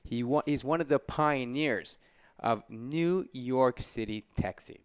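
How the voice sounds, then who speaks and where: A man's voice: medium pitch, slow pace, clear, resonant tone. A male podcast host with a mid-range voice leads a casual interview. He is effusive, laughs easily and often restarts his sentences.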